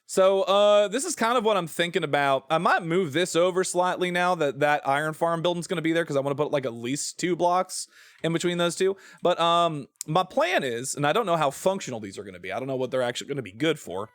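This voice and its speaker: A man with an American accent and a slightly high voice talks casually as a game streamer. He rambles and thinks aloud as he goes, recorded close with very little room sound. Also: lively intonation, fairly quick, a bit bright, no echo. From southern-adjacent United States. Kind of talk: casual livestream chat while playing a building game.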